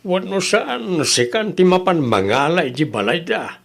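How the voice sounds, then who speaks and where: An Ilocano father played by an actor in a comedy audio drama, with very animated, swinging intonation. He is mild and reasonable, putting in conciliatory remarks and advice. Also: high voice, unhurried, gravelly, heavily acted. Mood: advising.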